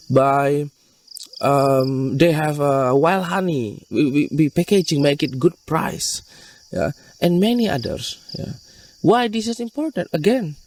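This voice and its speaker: Halting Indonesian-accented man, recorded at a distance. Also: a little high, a little mellow. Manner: halting, repetitive phrasing. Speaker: wildlife rescue NGO worker being interviewed. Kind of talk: interview explanation in non-native English. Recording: distant mic with insect chirps.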